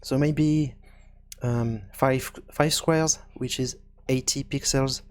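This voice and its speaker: A man hosting a programming tutorial, thinking aloud in a halting, hesitant way as he works through each step. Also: mid-range voice, fairly even intonation. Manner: hesitant, halting, working things out as he speaks. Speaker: video game programming tutorial host.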